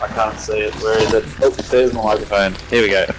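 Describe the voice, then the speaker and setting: A man's voice: lowish voice, fairly even intonation, fairly quick, background noise. An Australian man co-hosting an online racing broadcast, chatting casually with lots of fillers on a headset mic. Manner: chatty, rambling, filler-heavy. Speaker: co-host.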